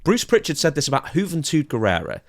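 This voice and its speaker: A man's voice: a little high, huge pitch swings, quick pace, no echo. A man hosting a podcast, casually putting questions and moving the conversation along. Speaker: podcast host. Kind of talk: casual interviewing.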